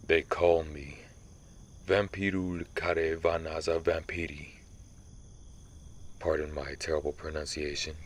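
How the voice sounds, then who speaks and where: Man playing a very deep, brooding vampire hunter. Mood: grim, proud. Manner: grave, measured, dramatic storytelling. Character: brooding centuries-old male vampire who hunts vampires. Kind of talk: ASMR roleplay monologue.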